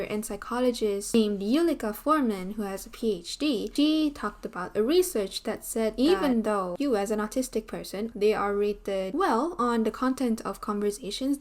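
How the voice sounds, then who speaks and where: A woman in her twenties with a Malaysian accent, chatting casually to camera in an animated way, full of "like" and "you know". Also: on the high side. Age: 20s. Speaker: vlogger. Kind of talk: casual talking-head vlog. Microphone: camera mic, seated on a chair.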